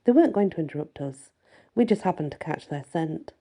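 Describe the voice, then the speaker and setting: A woman's voice: deep voice, animated, brisk, dark tone. A woman voicing a playful, earnest young werewolf woman in a fantasy audiobook, teasing and fond of her mate. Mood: playful, defensive. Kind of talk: first-person fantasy audiobook dialogue.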